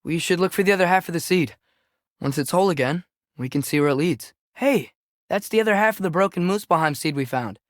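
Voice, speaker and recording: A man's voice: on the high side, lively intonation, brisk, dry recording, studio quality, natural voice. A young man playing an eager, earnest, curious teenage boy, a companion in a video game, fighting beside his father.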